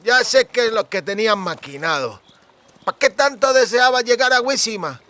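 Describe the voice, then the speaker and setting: A man's voice: very high-pitched, expressive, normal speed, bright, forceful tone, no echo, pitched above the performer's own voice, heavily acted. A man voicing a drunken, boisterous, friendly young cooper with rustic, exclamatory speech for an audiobook. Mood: indignant, gossiping. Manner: exclamatory, colloquial rustic speech with clipped words.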